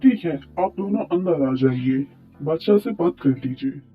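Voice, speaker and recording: A man's voice: fairly high pitch, expressive, measured pace. A man playing a deferential royal minister in a cartoon story, speaking in a courtly, formal way. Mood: dutiful. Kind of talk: Urdu moral cartoon story dialogue. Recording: tinny phone-like sound, music bed.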